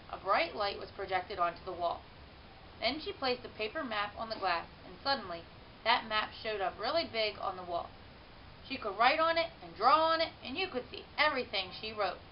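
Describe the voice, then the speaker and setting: Woman reading a storybook through muffled hiss. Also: a little high. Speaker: teacher reading a children's book aloud. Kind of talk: expressive storybook narration. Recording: muffled, hissy home-style recording.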